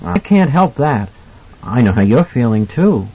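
A man voicing a jovial, kindly older mentor with a husky voice and a folksy, colloquial manner in an audiobook. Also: mid-range voice, very animated, unhurried, mellow, muffled recording. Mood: gentle, probing. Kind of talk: audiobook character acting.

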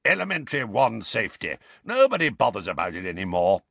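A man voicing a gruff, paranoid grizzled old wizard who growls irritably in an audiobook. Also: fairly low pitch, very animated, a little fast, a bit bright, dry recording, full character voice. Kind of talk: audiobook character voice.

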